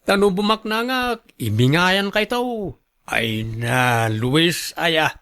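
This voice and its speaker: A man playing a stern, weary older father in a radio drama, admonishing in drawn-out phrases. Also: fairly high pitch, lively intonation, a bit bright, heavily acted. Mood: scolding. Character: stern, weary old father scolding his idle son. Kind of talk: Ilocano radio drama acting.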